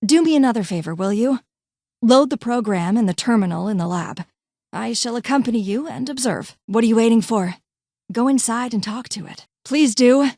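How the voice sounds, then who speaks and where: A woman's voice: on the high side, animated, moderate pace, dark tone, no echo, clean studio sound. A woman voicing a brusque, condescending vault doctor and scientist in a video game, with clipped, formal, haughty delivery. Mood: brisk. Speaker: voice actor in a game mod. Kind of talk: scripted game character dialogue.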